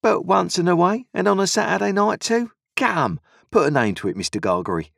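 A man voicing a cunning, secretive stranger who asks probing questions in a sly, drawn-out way. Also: high voice, sing-song, vocal fry, fairly bright tone, slightly rough, dry recording, clean studio sound, voice pushed up, exaggerated voice.

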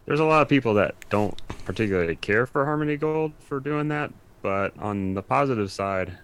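A man's voice: mid-range voice, expressive, brisk, a bit bright, a little hiss or hum. A middle-aged man, chatting casually and explaining things as an expert guest.

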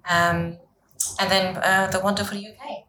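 Czech-born woman, bright roomy voice. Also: mid-range voice, steady tone, normal speed. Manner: light, self-deprecating, laughs easily. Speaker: guest, senior associate lawyer. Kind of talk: casual podcast chat.

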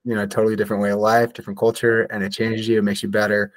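A man's voice: fairly low pitch, flat delivery, rapid-fire, no echo. A man hosting an interview, asking friendly questions in an upbeat, encouraging way. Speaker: podcast host, interviewer.

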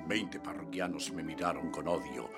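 A man narrating a radio play in the first person, telling his story with lively expression. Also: very deep voice, normal speed, soft background music.

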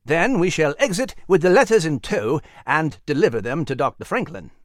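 Refined gentleman creature male narrator acting: formal, scholarly, expressive, dry close sound. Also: fairly high pitch, moderate pace, dry recording, close to an everyday voice. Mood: inquiring, purposeful. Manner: proper, formal, precise. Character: refined, learned, bespectacled male animal gentleman.

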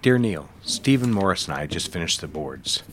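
Very deep-voiced, controlling male boss. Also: expressive, slight background noise. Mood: businesslike, brisk. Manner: measured, insistent, commanding. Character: controlling, smooth male 1960s advertising office boss. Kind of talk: period audio drama acting.

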